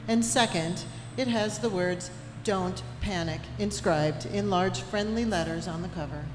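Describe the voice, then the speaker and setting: A woman performs a measured storybook narrator for a science fiction radio comedy. The delivery is dry and unflappable. Loud music plays under the voice, which rings through a big echoey hall. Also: lowish voice, lightly acted. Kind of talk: scripted narration for a live staged radio play.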